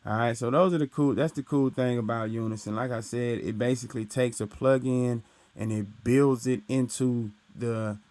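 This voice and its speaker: A young man with a deep voice casually explaining recording gear, talking into his studio mic and tagging his points with "right?". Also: fairly even intonation, normal speed, a little mellow, no echo. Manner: explains loosely with frequent "right?" and "uh". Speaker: recording engineer teaching. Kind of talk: casual tutorial walkthrough. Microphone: studio microphone through his interface.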